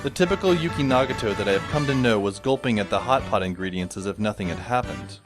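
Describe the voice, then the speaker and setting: Guy narrating a story, dry sarcastic tone, lively delivery, music playing under. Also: on the low side, quick pace. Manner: dry, sardonic. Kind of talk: first-person audio drama narration.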